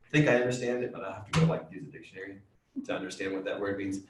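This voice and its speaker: A man, a music teacher, explaining science to children in a chatty, excitable way with many fillers. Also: on the low side, very fast, noisy background. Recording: echoey room, distant mic.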